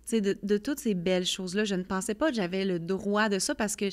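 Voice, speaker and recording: A woman's voice: mid-range voice, brisk. A young woman with a Quebec French accent gives candid personal testimony as a podcast guest. She talks earnestly, full of fillers, often voicing her own past thoughts as quotes. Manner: earnest, many fillers, lively storytelling with quoted inner voice.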